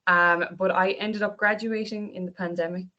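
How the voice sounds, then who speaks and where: A woman's voice: medium pitch, steady tone, moderate pace, bright, forceful tone, lo-fi sound. A woman in her twenties with an Irish accent gives an informal, conversational presentation, rambling a little as a guest speaker. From Ireland. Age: 20s. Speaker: guest speaker at a careers seminar. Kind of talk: informal presentation of own career. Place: online video call.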